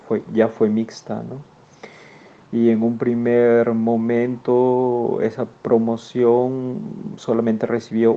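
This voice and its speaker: Gravelly low-voiced Peruvian man, halting. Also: lo-fi sound. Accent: northern Peruvian Spanish. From Peru. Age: 40s. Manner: halting, repeats words and restarts, many "eh" fillers. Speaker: educator guest. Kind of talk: personal recollection.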